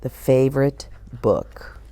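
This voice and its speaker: A woman's voice: a bass voice, animated, takes it slowly, warm tone. An older woman hosting a story time, chatting expressively about picture books and putting questions directly to young listeners. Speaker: host of a children's story time. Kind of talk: read-aloud and chatty book talk for children.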